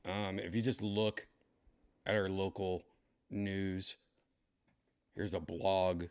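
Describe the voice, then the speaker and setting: A tipsy man with a raspy, dry-throated voice, chatting casually as a comedian hosting his livestream, rambling and clearing his throat often. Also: low voice, little pitch movement, slow, a little mellow. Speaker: comedian streaming host.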